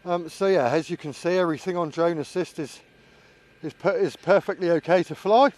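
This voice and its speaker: A man's voice: high voice, brisk, darkish tone. A man giving casual, musing running commentary as a vlogger flying a drone, thinking aloud as he goes. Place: outdoors.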